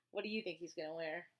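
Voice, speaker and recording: A woman's voice: fairly low pitch, highs cut off. A woman reading a children's picture book aloud as a teacher, playful and chatty, with casual asides and questions to a young listener.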